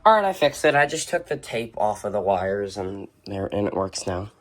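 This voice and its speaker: A video host giving a casual, unscripted show-and-tell indoors in an informal, halting way. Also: deep voice, sing-song. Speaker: video host and weather radio collector. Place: indoors, in a room with shelves of radios.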